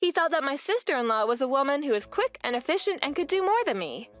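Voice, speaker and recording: A young woman narrates her own story for a manga dub in an earnest, weary way. Her voice sits high and moves in lively swings. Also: quick pace, soft background music. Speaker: narrator and wife.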